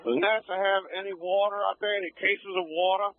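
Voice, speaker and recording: A man's voice: sits high, steady tone, fairly quick. A man working as an emergency officer, coordinating in brief radio messages over a two-way radio. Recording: noisy, clicking narrow-band radio.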